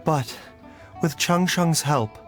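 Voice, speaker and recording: A man playing a gentle, scholarly doctor in a video game, speaking in a calm, measured and formal manner. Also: on the high side, loud music behind the voice. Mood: calm, reassuring. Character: gentle male herbal doctor, calm, scholarly, self-sacrificing.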